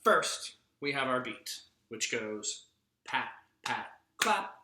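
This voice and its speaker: A man's voice: mid-range voice, animated, takes it slowly, background noise. A man playfully and emphatically teaching a clapping activity to children, drawing out the words he demonstrates. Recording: echoey room.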